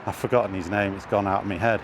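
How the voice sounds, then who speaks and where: A man's voice: fairly low pitch, steady tone, fast talker. A middle-aged British man rambling casually as he thinks aloud, often hesitating over his words. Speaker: vlogger. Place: outdoors while walking in an urban area. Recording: noisy outdoor recording with traffic. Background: clear street and vehicle noise.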